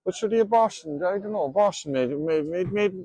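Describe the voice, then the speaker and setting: A man chatting casually on the street in a halting way, repeating words and trailing off. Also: sits high, expressive, warm tone, no room sound, rough recording. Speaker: passer-by who streams. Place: outdoors on a town street.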